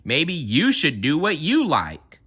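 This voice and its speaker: A man's voice: fairly high pitch, huge pitch swings, slow pace, bright, forceful tone, husky, pitched above the performer's own voice, exaggerated voice. A man voicing a cheeky male zebra skateboarder in a storybook, breezily calling out his lines. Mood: breezy, cheerful.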